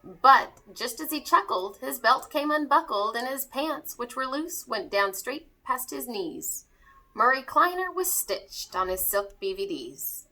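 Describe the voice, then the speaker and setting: A woman reads a children's story aloud in rhyme. Her voice is fairly high and bright, and her pitch moves up and down a great deal as she tells it expressively. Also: expressive, unhurried, low-quality recording.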